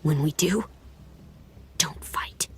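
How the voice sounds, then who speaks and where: A woman playing a grim, conflicted young raider soldier in a game drama, speaking in a breathy hush with terse urgency. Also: slow, in a whisper. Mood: urgent warning. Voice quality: breathy, hushed. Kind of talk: video game dramatic acting.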